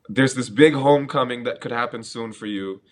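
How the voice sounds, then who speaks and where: A man from Bangladesh hosts a relaxed interview, chatty and eager, laughing easily and calling his guest "bro". His voice sits at an ordinary middle pitch. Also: normal speed. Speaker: interviewer, host. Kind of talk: casual interview chat.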